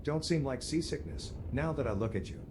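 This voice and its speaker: A man's voice: mid-range voice, animated, fairly quick, background noise, lightly acted. A man voicing a chatty, friendly travelling peddler in a video game, with a British accent and a folksy, rambling, colloquial way of talking. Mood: reassuring, chatty. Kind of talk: video game character dialogue.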